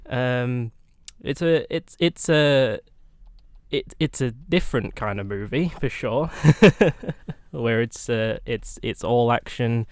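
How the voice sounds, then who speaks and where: A man speaking haltingly in a casual panel debate, often repeating words as he searches for the next one. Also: mid-range voice, clear, resonant tone, dry recording, studio quality.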